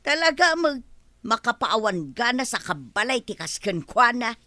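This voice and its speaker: An actress with an Ilocano accent playing a put-upon, quarrelsome village wife in an audio drama, given to exclaiming and complaining. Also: female voice, a little high, big pitch swings, moderate pace, sharp tone, no echo. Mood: angry, aggrieved.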